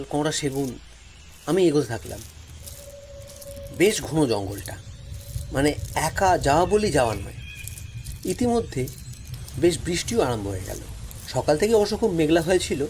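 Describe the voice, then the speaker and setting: A man narrating a first-person story in a dramatic, suspenseful way with expressive, drawn-out pauses. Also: fairly high pitch, a little hiss or hum.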